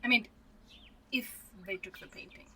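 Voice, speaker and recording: A woman's voice: moderate pace, pushed and bright, distant mic, lightly acted. A woman acting a nervous, guilty young male cleaner in an amateur radio drama, on a noisy recording. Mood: nervous, evasive. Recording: noisy, with clear background noise.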